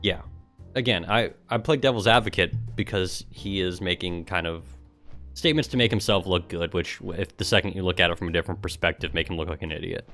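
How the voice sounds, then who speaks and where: Man, fairly low pitch, mocking casual commentary, livestream reading, faint background music. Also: a bit bright. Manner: mocking, sarcastic. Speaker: streamer and gamer.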